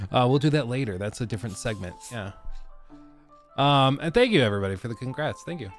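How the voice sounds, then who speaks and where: A man's voice: mid-range voice, expressive, brisk, soft background music. A young adult man with a stuffed-up nose, hosting a casual live stream and rambling with lots of fillers. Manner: rambling, chatty, many fillers.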